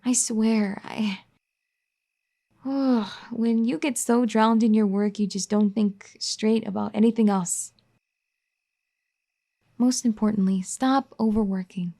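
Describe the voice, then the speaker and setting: A caring young wife, firm and a little bossy, as a woman acts her out. Her voice is mellow and dark, soft-spoken and gently scolding, in an ASMR roleplay style. She talks at an ordinary middle pitch. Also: steady tone, slow pace, pauses often.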